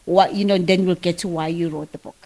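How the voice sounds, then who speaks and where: A woman's voice: fairly low pitch, fairly even intonation, fast, a little mellow. A woman from KwaZulu-Natal with a South African accent, hosting a book interview and asking long, eager, meandering questions. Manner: enthusiastic, rambling questions. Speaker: podcast host. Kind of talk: conversational interview.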